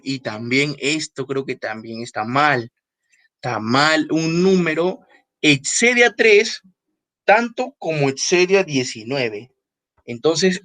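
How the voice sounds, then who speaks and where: Male math teacher, lively pitch, dry sound, repetitive, asks tag questions. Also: no echo. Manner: repeats key phrases and checks in with tag questions; stresses words slowly when explaining. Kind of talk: online class explanation.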